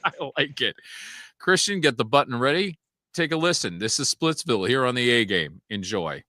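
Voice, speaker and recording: A man's voice: mid-range voice, expressive, moderate pace, sharp tone, no room sound. A man hosting a podcast in casual chat, joking and laughing easily as he introduces a band's song. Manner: jokey, laughs easily, announces the songs. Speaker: podcast host.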